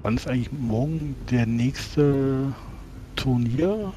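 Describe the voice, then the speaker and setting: A man with a medium-pitched voice talks in character as a tabletop game player. He weighs things up in a measured way, with faint noise behind him. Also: warm tone. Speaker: tabletop role-playing player.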